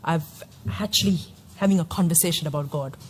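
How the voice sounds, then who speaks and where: A woman's voice: low-pitched, a little fast, a little hiss or hum. A woman from Sri Lanka giving a personal testimony in an interview, hesitant, with many fillers and restarts.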